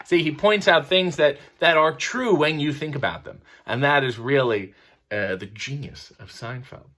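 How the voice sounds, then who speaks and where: A man hosting a mock bedtime reading, over-explaining in a deadpan way as if talking to kids. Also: mid-range voice, moderate pace. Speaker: host reading a children's book aloud. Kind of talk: mock bedtime story reading with dry commentary.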